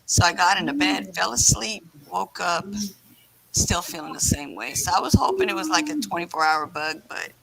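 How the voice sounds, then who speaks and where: Sick lady doing a livestream, super gravelly and raspy, pitch wanders up and down, kinda rambly. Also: expressive, moderate pace, a crowd in the background, poor audio. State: sick with scratchy throat and cough. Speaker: livestream host. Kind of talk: casual livestream chat.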